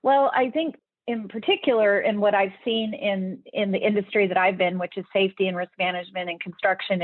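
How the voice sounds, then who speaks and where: A woman's voice: medium pitch, no room sound. A woman answering interview questions in an earnest, explanatory way, often restarting her sentences. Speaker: podcast guest, leadership speaker. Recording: tinny phone-like remote line, dry.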